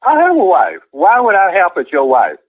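A man's voice: high voice, huge pitch swings, moderate pace, slightly rough, narrow, tinny audio. A man, a church deacon, arguing on the phone in a defensive, firm, repetitive way. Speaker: church deacon, prank call victim. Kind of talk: indignant phone argument.

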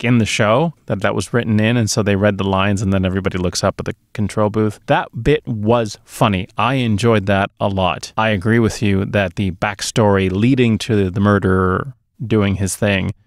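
A man's voice: fairly low pitch, normal speed, no echo. A middle-aged man co-hosting a movie podcast, giving casual opinions close to a studio microphone. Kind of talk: casual opinionated film chat. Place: small dark studio booth. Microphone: close podcast mic on boom arm.

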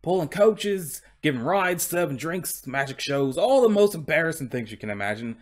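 A talking coach horse with a broad Cockney accent, performed by a man reading aloud. The voice sits high and leaps about in pitch. He is proud and aggrieved, full of polite, boastful working-class London patter. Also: expressive, normal speed, natural voice. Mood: indignant. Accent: put-on Cockney.

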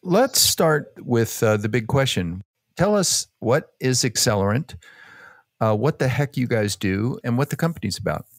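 A man's voice: mid-range voice, animated, moderate pace, dry recording, clean studio sound. A man co-hosting a business podcast, chatting casually and joking with his co-host. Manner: friendly, joking.

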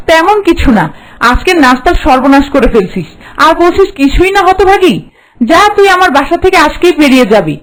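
Bossy stuck-up auntie for a cartoon, high voice, naggy n contemptuous. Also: female voice, rough recording. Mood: angry, harsh. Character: haughty, scolding, hard-hearted mistress of the house. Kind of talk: Bengali cartoon voice acting.

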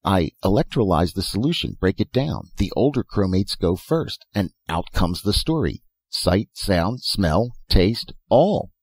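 A man's voice: sits low, big pitch swings, measured pace, few pauses, darkish tone, slightly rough, no room sound, exaggerated voice. A man voicing an eccentric, philosophizing old professor who talks in short, insistent phrases and rhetorical questions in a storybook reading. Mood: eager. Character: elfin bearded old male professor, eccentric, philosophical inventor.

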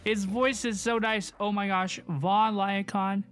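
A woman hosts a casual reaction video with excited, slangy commentary. She exclaims and repeats her phrases in an average-pitched voice. Faint game music plays behind her. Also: measured pace.

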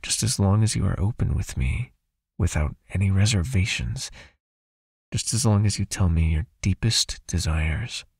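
A man playing a possessive, soothing vampire captor in an intimate ASMR hypnosis roleplay, speaking slowly and breathily, coaxing and gently commanding. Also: no room sound, clean studio sound, exaggerated voice. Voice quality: husky, breathy, low, often near a whisper.

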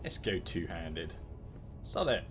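A man's voice: deep voice, sing-song, slow pace, gravelly. A man giving casual, self-deprecating gameplay commentary as a gaming video host. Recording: game music behind the voice. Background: loud game music.

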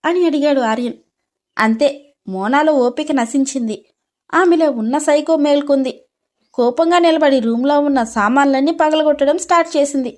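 A woman's voice: high voice, normal speed, darkish tone. A woman reading scripted dramatic story narration as the storyteller.

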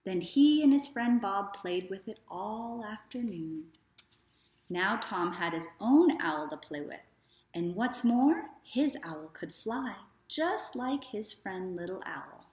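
Unhurried woman reading to children. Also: medium pitch, expressive, darkish tone. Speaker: teacher reading a storybook aloud. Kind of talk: children's read-aloud narration. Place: small living room, indoors. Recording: echoey living room.

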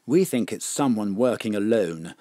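An actor playing a furtive, fussy top civil servant, conspiratorial and animated in a comic audio drama. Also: male voice, on the low side, normal speed, no echo, studio quality. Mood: confiding.

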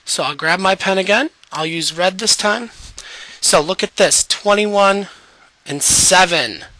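A man's voice: sits high, measured pace, sharp tone, no echo, poor audio. A man teaching a math lesson over an onscreen whiteboard, losing his voice and patiently guiding students step by step.

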